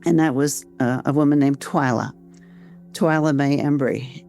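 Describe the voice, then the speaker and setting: Clear-voiced older American woman storyteller. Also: a bass voice, fairly even intonation, unhurried, dark tone, faint music behind. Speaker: interview guest, author and volunteer researcher. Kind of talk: conversational personal storytelling.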